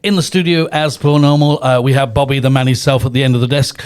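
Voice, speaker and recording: A man's voice: medium pitch, fairly even intonation, fast, clear, resonant tone. A British man hosting a podcast with casual, informal, chummy chat and repeated phrases. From United Kingdom. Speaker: podcast host. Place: podcast studio.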